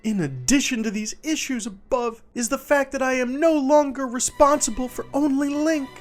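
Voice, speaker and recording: A man's voice: very high voice, moderate pace, faint music behind, pitched above the performer's own voice. A man voicing an exasperated gamer in a theatrical, pleading, overwrought dramatic reading. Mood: indignant. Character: exasperated gamer ranting to the point of hysteria. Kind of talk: dramatic reading of a written review.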